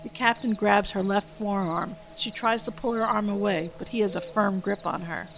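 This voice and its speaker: A woman's voice: mid-range voice, moderate pace, gravelly, soft background music, rough recording. A woman giving scripted narration, reading out stage directions between lines of dialogue.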